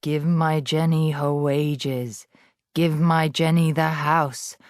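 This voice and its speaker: A woman voicing the ghost of a man, speaking slowly and menacingly. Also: fairly even intonation, darkish tone, no echo, studio quality. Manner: slow, low, menacing.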